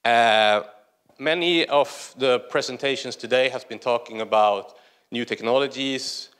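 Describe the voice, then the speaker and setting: A man from northern Sweden with a Swedish accent, giving a conference presentation in a large hall in a conversational way with many "uh" pauses. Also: medium pitch, a bit bright, clear, resonant tone. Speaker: conference speaker.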